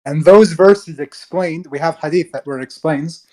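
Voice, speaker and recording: A man's voice: a little high, normal speed, a little mellow, poor audio. A young man calling into a debate over a video call, explaining his views in hesitant, rambling sentences full of restarts. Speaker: caller.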